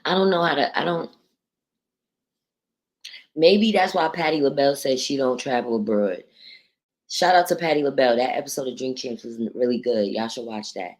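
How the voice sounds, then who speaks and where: A woman from New York with a deep voice, hosting a casual livestream, reading viewer comments and talking bluntly with plenty of profanity. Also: normal speed, pauses often. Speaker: livestream host.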